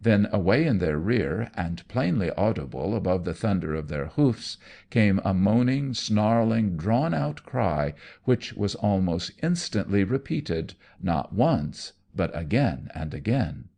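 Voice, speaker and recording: Deep voiced guy narrating a spooky audiobook, bit gravelly, really theatrical and suspenseful. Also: very animated, unhurried, dark tone. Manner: theatrical, suspenseful storytelling with emphatic repetitions. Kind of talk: dramatic scripted narration of gothic fiction.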